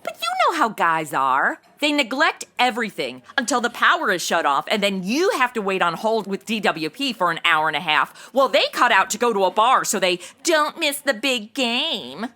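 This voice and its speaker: A woman's voice: mid-range voice, sing-song, moderate pace, few pauses, pushed and bright. A woman playing a bubbly, ditzy young insurance seller in a comedy audio drama, with flippant, slangy Valley-girl chatter. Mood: flippant, gossipy.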